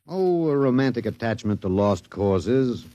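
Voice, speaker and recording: An actor in a 1950s-style radio drama playing a wry, bookish prisoner with a dry, hesitant, sardonic manner. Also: male voice, medium pitch, lively intonation, a little slow, warm tone, dry recording, lo-fi sound, lightly acted. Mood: wry, resigned. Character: wry, bookish, resigned male human prisoner.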